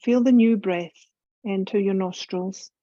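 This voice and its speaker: Mellow woman guiding a meditation, dull recording. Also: medium pitch, steady tone, no room sound. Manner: soothing, unhurried, instructive. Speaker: yoga teacher leading guided meditation. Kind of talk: guided meditation, scripted narration.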